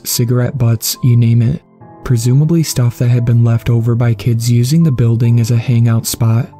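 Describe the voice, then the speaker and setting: Very dark-voiced man narrating horror. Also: mid-range voice. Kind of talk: scripted first-person creepypasta narration. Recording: background music under the voice. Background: music.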